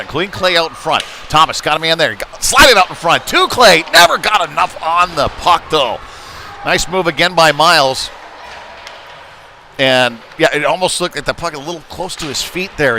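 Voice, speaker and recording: Folksy male hockey announcer, noisy rink. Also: a little high. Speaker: play-by-play hockey commentator. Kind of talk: live youth hockey game commentary. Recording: noisy rink ambience behind voice.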